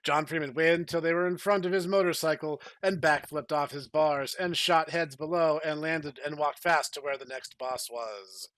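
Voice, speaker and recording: A man's voice: fairly high pitch, normal speed, hardly any pauses, a bit bright, dry recording. A man co-hosting a comedy podcast, moving between dramatic story reading and casual banter. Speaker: podcast co-host.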